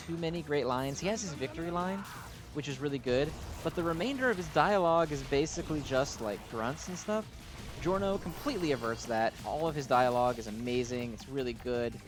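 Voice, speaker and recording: A man giving casual, unscripted commentary as he plays and reviews a video game, rambling and gushing like a fan, with self-deprecating asides. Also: medium pitch.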